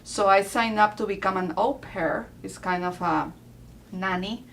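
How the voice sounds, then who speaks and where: Gravelly Peruvian-accented woman in her forties. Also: medium pitch, measured pace, a little hiss or hum. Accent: Peruvian Spanish. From Peru. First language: Spanish. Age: 40s. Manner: relaxed, many soft fillers and laughs. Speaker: interviewee. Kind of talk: casual interview.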